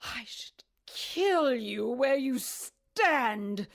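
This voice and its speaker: Ancient cursed serpent woman, audio drama: slow, highish, hissing, solemn and tormented, formal diction. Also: lively intonation, creaky, heavily acted. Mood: menacing. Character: anguished, cursed snake-woman monster, centuries old, formal.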